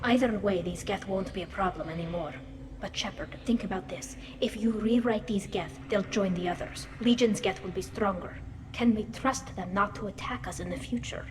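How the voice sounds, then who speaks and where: A woman's voice: mid-range voice, normal speed, noisy background, lightly acted. A woman playing a cautious, wary squadmate in a video game, with a questioning, cautioning way of speaking. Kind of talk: video game character acting. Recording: long reverberant hall echo.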